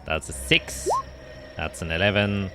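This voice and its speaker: A man's voice: low-pitched, fairly even intonation, a little slow, over loud music. A man narrating a tabletop roleplaying game as game master, describing scenes and calling out dice results.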